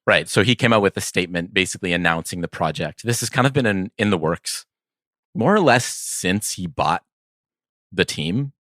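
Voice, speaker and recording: A man's voice: low voice, animated, moderate pace, a bit bright, dry recording, clean studio sound. A man co-hosting a podcast, chatting casually about sports in a thoughtful, conversational, slightly hedging way. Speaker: podcast co-host.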